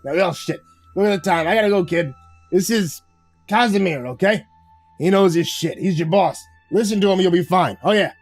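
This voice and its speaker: A man voicing a brash, folksy middle-aged car dealer boss who talks in casual slang with cajoling pauses. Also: sits high, soft background music, voice pushed up. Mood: hurried, evasive.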